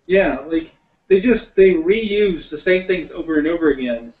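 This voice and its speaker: A man's voice: on the high side, animated, normal speed. A man chatting casually as a podcast panelist, heard over a tinny, echoey, distant call. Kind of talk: casual chat.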